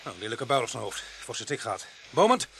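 An actor playing a weary, irritable police sergeant in a radio crime drama, gruff, sardonic and commanding. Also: male voice, mid-range voice, very animated, quick pace, fairly bright tone. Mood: dry, calm. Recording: noisy old radio drama recording.

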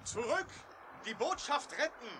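High, strained frantic visionary man. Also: expressive, unhurried, very noisy. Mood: frantic, urgent. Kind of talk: 1980 German radio drama acting.

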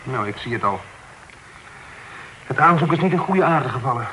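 An actor playing an earnest, lovelorn young son of a genteel family in a period radio drama. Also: male voice, mid-range voice, expressive, moderate pace, close to an everyday voice. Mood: dejected. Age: young adult. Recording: noisy old radio recording.

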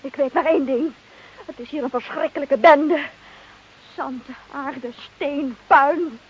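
Hoge, verwijtende dorpsvrouw in hoorspel. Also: female voice, sits high, measured pace. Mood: exasperated. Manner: exclaiming, reproachful, dramatic. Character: exasperated, scolding village wife of a smuggler. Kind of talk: radio play acting. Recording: muffled, hissy old radio recording.

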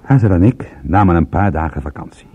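Radio play detective, low raspy voice, wry storytelling, muffled narrow band audio. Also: male voice, animated, fairly quick. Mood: dry, matter-of-fact. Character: male private detective narrating his case, dryly amused. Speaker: narrator and lead actor. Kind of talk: radio drama narration.

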